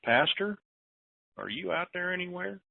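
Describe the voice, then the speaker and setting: A man's voice: sits high, animated, moderate pace, gravelly, crowd noise. A man hosting a conference call, reading listener questions aloud and answering in a rambling, self-deprecating, joking way. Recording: tinny phone line.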